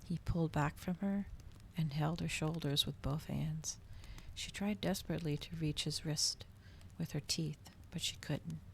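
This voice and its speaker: Female ASMR reader, low and creaky, hushed delivery drifting into whispers, faint noise behind. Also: a little slow. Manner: hushed, often slipping into whispers. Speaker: ASMR streamer reading a novel aloud. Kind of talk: ASMR storybook reading.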